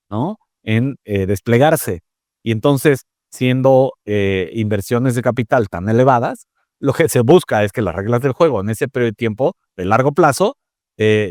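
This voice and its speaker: Man, measured, full of fillers. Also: medium pitch, darkish tone, no echo, studio quality. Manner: measured, many fillers and tag questions. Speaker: interview guest, telecom expert. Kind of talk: conversational interview.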